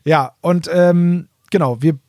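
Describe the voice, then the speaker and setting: A middle-aged German man with a slightly high voice co-hosts a podcast. He explains things in casual fan talk, often restating himself as he goes. The sound is dry and close. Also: slow pace, dark tone, no room sound. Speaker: podcast co-host reviewing audio dramas. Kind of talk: casual, enthusiastic fan chat.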